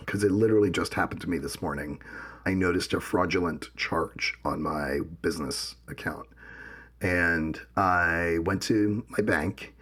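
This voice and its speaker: Gravelly deep-voiced man. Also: fairly bright tone. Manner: personal, reflective, tells anecdotes. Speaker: podcast co-host. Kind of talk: casual conversation.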